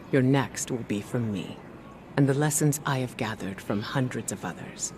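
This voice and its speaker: An actor playing a solemn, encouraging mentor in a video game, speaking in a measured, formal manner. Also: male voice, medium pitch, natural voice. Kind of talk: scripted game dialogue. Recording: music behind the voice.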